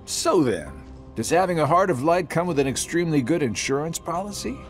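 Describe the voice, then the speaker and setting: A smug middle-aged game villain, mocking everyone with lazy sarcasm. His voice sits a little high for a man and swings up and down as he drawls out his taunts. Some music plays behind him. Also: very animated, brisk. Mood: sarcastic. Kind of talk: video game cutscene acting.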